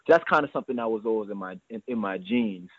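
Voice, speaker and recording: Young man talking fast over a phone line. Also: fairly low pitch, expressive, husky, dry recording. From New York. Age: 20s. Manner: fast, earnest, repeats words. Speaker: guest entrepreneur. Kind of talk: phone interview. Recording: dry tinny phone line.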